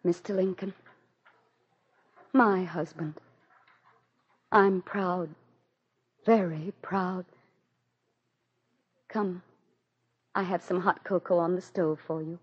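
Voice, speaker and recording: An actress playing a proud, anxious president's wife in a theatrical, emotional 1940s radio drama style. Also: female voice, fairly low pitch, fairly even intonation, slow, long pauses, husky. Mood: proud, tender. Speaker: lead actress and first-person narrator. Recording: dull 1940s radio recording with music.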